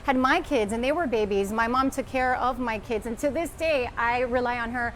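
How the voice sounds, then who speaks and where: A woman's voice: fairly high pitch, normal speed, slight background noise. A woman who works as a news anchor, talking earnestly and reflectively in a heartfelt conversation.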